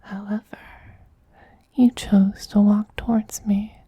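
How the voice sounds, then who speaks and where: A man voicing a tender, possessive male vampire queen in a soft-spoken ASMR roleplay, in a slow, breathy, soothing whisper with gentle dominance. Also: sits high, flat delivery, no echo, full character voice. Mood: loving, soothing.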